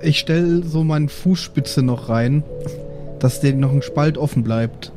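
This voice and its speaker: A male player speaks in a fairly high voice at an unhurried, slow pace. He chats casually while improvising in a tabletop game, and music plays clearly behind him.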